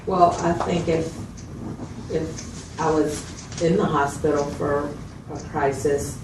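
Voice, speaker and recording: Woman acting as a reserved female client in a dramatized interview, low voice, plainspoken, noisy echoey room. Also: steady tone, slow pace. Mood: earnest. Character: reserved, somewhat frustrated female mental health client. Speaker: mental health client. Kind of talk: acted clinical interview. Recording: roomy, distant mic, noticeable background noise.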